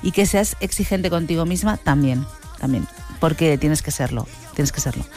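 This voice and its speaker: A woman from co-hosting a radio show as a casting director, calm and advising, often repeating herself. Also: low-pitched, normal speed, soft background music. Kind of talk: casual studio chat.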